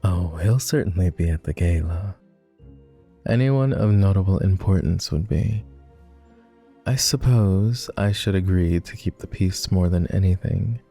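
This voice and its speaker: A man playing a doting, refined vampire gentleman in a romantic roleplay ASMR, with formal, courtly and gently teasing speech. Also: sits low, measured pace, soft background music. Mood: teasing, affectionate.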